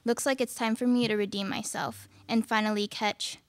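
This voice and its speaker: A woman's voice: a little high, fairly even intonation, moderate pace, dry recording, natural voice. A young woman playing a determined detective in a student radio play, with a formal, polite manner. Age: young adult. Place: small indoor studio. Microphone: stand microphone.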